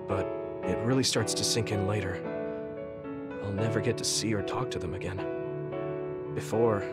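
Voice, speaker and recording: An actor playing an earnest young male psychic soldier in a game, speaking gently and sincerely, with music behind. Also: on the low side, lightly acted. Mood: somber. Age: young adult. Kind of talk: video game dub acting.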